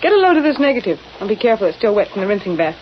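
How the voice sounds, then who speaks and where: A woman playing a breezy, excitable newspaper photographer who talks in wisecracking slang, old-time radio style. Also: medium pitch, racing, lightly acted. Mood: excited, breezy. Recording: dull, hissy old radio recording.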